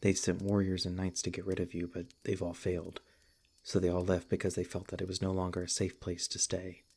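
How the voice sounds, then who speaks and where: A man playing a protective, tender monster hunter in an ASMR roleplay, speaking in a gentle, halting, earnest way with a husky, breathy voice. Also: low voice, completely flat delivery. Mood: sympathetic. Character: protective, tender male monster hunter comforting his beloved. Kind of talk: ASMR roleplay, soft intimate acting.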